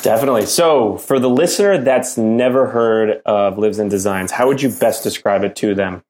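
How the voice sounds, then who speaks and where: A man's voice: on the low side, moderate pace, darkish tone. A man hosting a podcast, speaking in a casual, chatty way to listeners and his guest. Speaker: podcast host.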